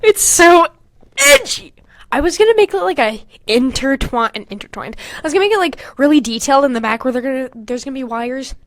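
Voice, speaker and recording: A young woman hosting a podcast, casually reading aloud and mocking her own writing in an animated, sweary, giggly way. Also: sits high, fairly quick, no room sound. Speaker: podcast host. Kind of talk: casual comedic commentary while reading own old fanfiction aloud.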